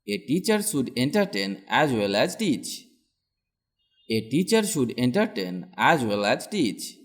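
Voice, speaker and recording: A man reading out words and short example sentences as a scripted English lesson, in a deliberate, instructional manner. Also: mid-range voice. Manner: deliberate, each sentence said twice. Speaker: English language teacher.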